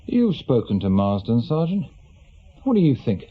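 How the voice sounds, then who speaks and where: English male police chief, authoritative and brisk, medium-pitched, lightly lo-fi radio drama. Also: huge pitch swings, slight background noise. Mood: businesslike. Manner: terse, authoritative, questioning. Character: brisk, sceptical senior police detective in command. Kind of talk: British radio drama acting.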